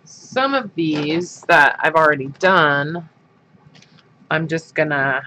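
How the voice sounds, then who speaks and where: A man's voice: a little high, takes it slowly, sharp tone, a little hiss or hum. A man hosting a craft livestream, chatting casually and rambling with lots of fillers, his sinuses stuffed up. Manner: rambling, many fillers and restarts.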